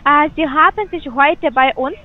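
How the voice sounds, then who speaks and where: Female phone sales agent, high pitch, polite and scripted, heard over a tinny phone line. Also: moderate pace. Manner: polite, scripted questions, hesitant fillers.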